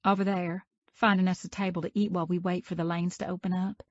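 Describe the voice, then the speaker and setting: A man's voice: high voice, level tone, fast talker, no echo, highs cut off, voice pushed up, close to an everyday voice. A man putting on a sassy teenage girl.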